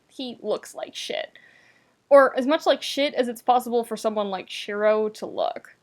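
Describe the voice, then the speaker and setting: A woman reading a story aloud as narrator, with expressive, wry delivery and dramatic emphasis. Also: mid-range voice. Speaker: podfic narrator. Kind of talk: expressive scripted fiction narration.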